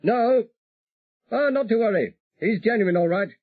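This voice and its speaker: A man voicing a brisk, cheerful male pilot heard over a faint radio, clipped and breezy. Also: sits high, expressive, dry recording, narrow, tinny audio, voice pushed up.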